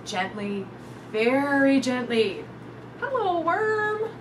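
High, lively woman reading to children. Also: slow. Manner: animated, sing-song, addressing young children. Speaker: storytime reader. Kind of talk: expressive children's picture book read-aloud. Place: indoors in front of a curtain backdrop. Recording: distant mic with clear background noise.

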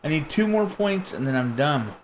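A man chatting on a game stream, his voice sitting slightly high. He rambles and jokes crudely with a friend as he plays. A faint hiss lies under the recording. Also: very animated, normal speed. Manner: joking, crude, rambling banter. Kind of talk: casual gaming chat.

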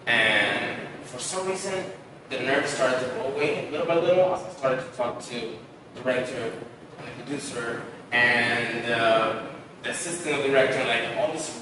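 A man's voice: mid-range voice, moderate pace. A young man, an actor guest on a podcast, chatting casually about auditions in a studio, talking loosely with lots of fillers. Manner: relaxed storytelling with many fillers. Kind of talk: casual interview chat. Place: podcast studio with graffiti wall. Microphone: headset mic seen, far camera. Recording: echoey room, distant, noisy.

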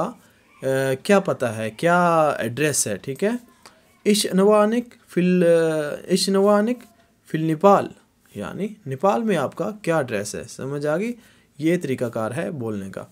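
A man from Pakistan filming a casual language lesson in a small room, repeating example phrases slowly and raising his voice on them. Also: fairly high pitch. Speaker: language teacher.

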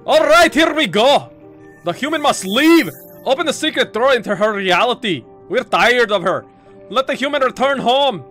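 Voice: mid-range voice, animated, moderate pace, a bit bright, faint music behind, lightly acted. A let's player voicing a grumpy, absent-minded old male game wizard, pompous and blustering, lapsing into hesitant mutters. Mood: commanding.

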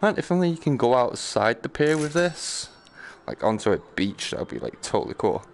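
A man's voice: medium pitch, wildly swooping pitch, fairly quick, gravelly, a little hiss or hum. A man giving casual live game commentary, slangy and sweary, muttering to himself and breaking into exclamations. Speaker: game streamer.

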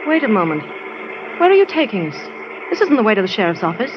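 A haughty, defiant young woman played by an actress in a vintage radio thriller. She is tart and clipped, with lively swings of pitch, on a dull recording with clear noise. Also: fairly quick, lightly acted. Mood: indignant. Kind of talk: 1940s radio drama acting.

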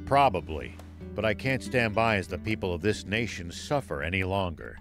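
A weary old soldier for a video game. His voice is low, with expressive swings, and he speaks formally and earnestly, calm and resolute, with music playing behind him. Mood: grave, sincere. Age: older. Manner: measured, formal, gravely earnest. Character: weary, noble old former army general, calm and resolute.

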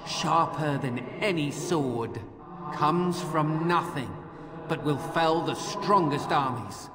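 An actor voicing the stately ghost of a holy army commander, formal and declamatory, in a fantasy game. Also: male voice, fairly high pitch, slow pace. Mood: mysterious, solemn. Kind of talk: scripted fantasy game dialogue. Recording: echoey hall reverb, noisy game ambience.

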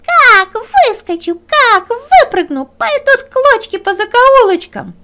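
A woman voicing a brazen, threatening female fox in a loud, shrill storybook sing-song. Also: sing-song, slow, a little hiss or hum, exaggerated voice.

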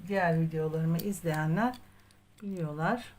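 A woman's voice: low-pitched, a little slow, darkish tone. A woman narrating a step-by-step craft tutorial in a patient, methodical way, as the host. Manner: patient, methodical instruction, counting aloud.

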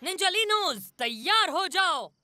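Young ninja villain, boy, grumpy, boastful, petulant, very high voice, cartoon music behind. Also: big pitch swings, unhurried, full character voice. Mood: bossy. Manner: scolding, petulant. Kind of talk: Hindi dubbed children's cartoon acting.

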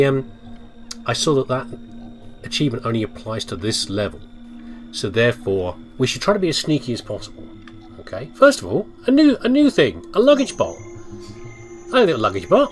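A man's voice: medium pitch, big pitch swings, brisk, pauses often, soft background music. A man hosting a gaming video with casual, jokey commentary, often talking to himself. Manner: jokey, chatty, talks to himself. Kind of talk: casual let's play commentary.

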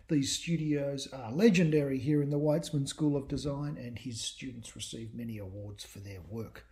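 Warm-toned man reading a host intro. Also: on the high side. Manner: formal, read from a script. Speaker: lecture series host.

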